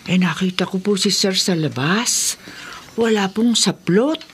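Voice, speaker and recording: An actress playing a fearful, superstitious middle-aged housemaid in a horror radio drama, hesitant and respectful. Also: female voice, mid-range voice, measured pace, a little hiss or hum, lightly acted. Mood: anxious, deferential.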